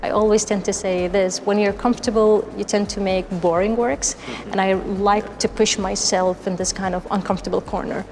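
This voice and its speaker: A woman's voice: mid-range voice, flat delivery, moderate pace, few pauses, darkish tone. A woman artist explaining her work in a casual interview. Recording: long hall reverb, faint music.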